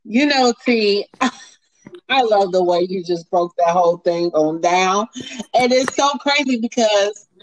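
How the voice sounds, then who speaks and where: A woman's voice: mid-range voice, expressive, measured pace, low-quality recording. A woman hosting a faith podcast, casual and encouraging, affirming her guest often and laughing easily. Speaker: podcast host.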